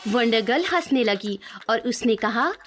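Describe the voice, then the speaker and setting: A woman's voice: on the high side, lively intonation, unhurried, faint music behind. A woman narrating a scripted children's cartoon story.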